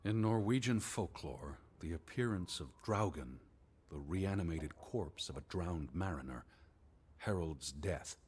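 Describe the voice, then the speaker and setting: An actor playing an educated, dismissive American male naturalist of the 1920s in a video game, speaking in a measured, formal, pedantic way. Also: deep voice, animated, darkish tone, breathy edge, exaggerated voice. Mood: grave, ominous. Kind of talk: scripted game dialogue and reading aloud.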